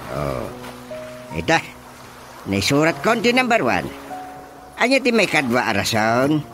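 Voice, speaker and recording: A man acting a stern, mocking village chairman in an Ilocano radio drama comedy, interrogating deliberately with an Ilocano accent. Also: medium pitch. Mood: questioning, deliberate. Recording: music behind the voice.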